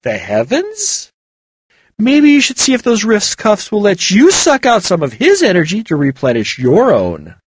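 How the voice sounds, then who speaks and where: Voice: mid-range voice, huge pitch swings, measured pace, breathy edge, no echo, clean studio sound, voice pushed up, exaggerated voice. A narrator voicing a sarcastic, distrustful companion. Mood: mocking. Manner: sarcastic, mocking.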